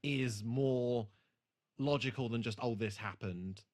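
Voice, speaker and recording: A man co-hosting a casual TV rewatch podcast, halting as he thinks aloud, with some swearing. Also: medium pitch, a little mellow. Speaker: podcast co-host.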